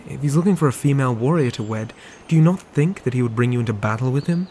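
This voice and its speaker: A man playing a dutiful, ambitious knight and protective brother in a scripted medieval fantasy audio roleplay, with measured, formal phrasing. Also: medium pitch, expressive, warm tone, a little hiss or hum, natural voice. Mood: exasperated.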